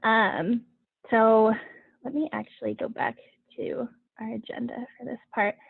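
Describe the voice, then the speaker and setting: A young woman from Colorado moderating a video-call panel, explaining the plan in an organized, even way. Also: a little high, gravelly, dry recording. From Colorado, USA. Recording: tinny phone-like video call audio.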